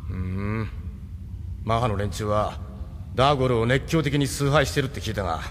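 A man's voice: lowish voice, moderate pace, natural voice. A man playing a wry, loyal ship captain in a Japanese audio drama, frank and familiar. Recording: long hall reverb, faint noise.

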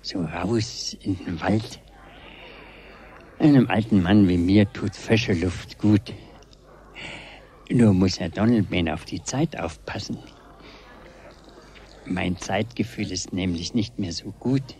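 Slow, deep-voiced, halting old man. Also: darkish tone, a little hiss or hum. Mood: fussy, mild. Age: older. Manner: halting, hesitant, breathy trailing phrases. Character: frail, gentle, absent-minded old pensioner, quietly demanding. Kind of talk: 1970s German radio drama acting.

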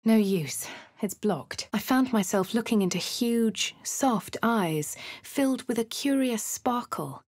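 An actress voicing a posh English woman adventurer in a video game, speaking crisply with a dry, self-assured manner. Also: a little high, animated, takes it slowly, a little mellow, no room sound. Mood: wry. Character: posh young woman adventurer, cool and wry. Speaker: player character. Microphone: close studio mic.

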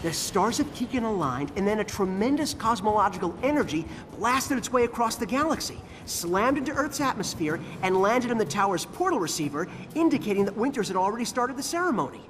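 An eager brainy turtle inventor. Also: male voice, high-pitched, very animated, brisk, hardly any pauses, a bit bright, slight background noise. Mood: explaining. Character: brainy young male turtle inventor, logical. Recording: game cutscene over music.

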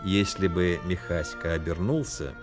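An actor narrating a Soviet radio play as an earnest, emotive storyteller. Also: male voice, deep voice, a little slow, music in the background. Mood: reflective.

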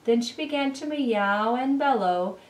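A middle-aged woman giving an expressive, deliberate picture-book read-aloud as a children's presenter. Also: fairly high pitch. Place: indoor living room beside a Christmas tree. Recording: roomy, distant camera microphone.

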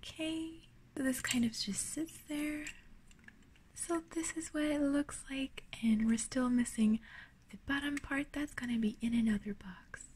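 A woman hosting an ASMR unboxing, whispering breathily and gently, sounding delighted, close to the mic at a table indoors. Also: sits high, unhurried, slight background noise.

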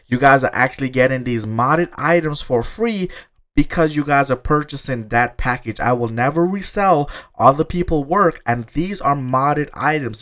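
A man with a slightly raspy voice from a sore throat, giving an upbeat, thankful voice-over for a video game showcase while promoting his loot packages. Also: mid-range voice, fairly bright tone. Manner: upbeat, thankful, salesy and repetitive. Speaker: gaming YouTuber selling loot packages. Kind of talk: casual gameplay showcase commentary. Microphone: voice-over over gameplay.

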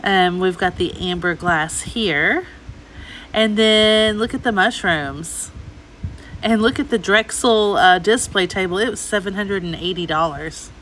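A middle-aged woman with a bright, forward voice at a medium pitch. She talks casually and gushes over things as she browses, recorded on a phone indoors with faint store noise behind her. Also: a little slow, low-quality recording. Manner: chatty, gushing over items. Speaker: host, antiques reseller. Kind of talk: casual shopping vlog commentary. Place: inside an antique mall.

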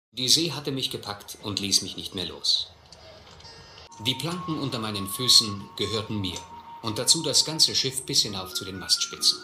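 An actor playing a seasoned, restless South Seas captain, measured and earnest, in a German radio play. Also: male voice, fairly low pitch. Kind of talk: German radio play narration, literary and scripted. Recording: roomy recording with music behind.

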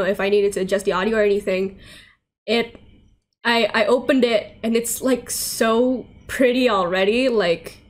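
A woman's voice: on the high side, a bit bright. A young woman game streamer chatting casually and informally to her livestream viewers, with plenty of slang. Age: young adult. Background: music.

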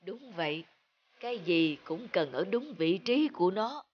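An actor playing a knowledgeable professor in a children's cartoon fable, explaining in a measured, thoughtful way. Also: medium pitch, sing-song, muffled recording, a little hiss or hum. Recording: music behind the voice.

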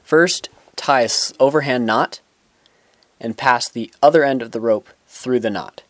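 A young man explains knots step by step in a plain, instructional voice of medium pitch. He now and then restarts a sentence mid-thought. The sound is dry and close, with little room around it. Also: unhurried, a bit bright, no echo. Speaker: knot-tying instructor. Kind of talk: step-by-step tutorial. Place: outdoors on grass.

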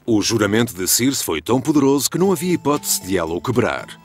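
A man narrating an animated ancient legend as a solemn storyteller, in European Portuguese with dramatic scripted delivery. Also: medium pitch, quick pace, soft background music, lightly acted.

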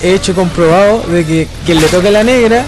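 A young Chilean man with a fairly high voice hosts a video game session among friends. He talks in loose slang, swears freely and orders the team on, with game music clearly behind him. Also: a little fast, rough recording. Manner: slangy, swearing, bossy toward teammates. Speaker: gaming video host. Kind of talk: casual gameplay commentary with friends. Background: loud video game music and effects.

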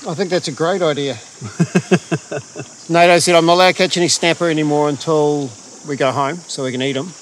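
A man's voice: on the high side, normal speed. A man chatting in an easygoing way while fishing on a rocky sea coast. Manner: easygoing, relaxed. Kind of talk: casual fishing chat. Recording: wind and waves, noisy outdoor camera mic.

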